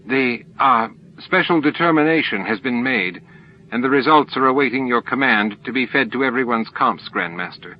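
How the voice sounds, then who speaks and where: A man's voice: mid-range voice, expressive, normal speed, muffled recording, slight background noise, natural voice. A man voicing a dutiful alien officer who reports to his master in a formal, deferential way.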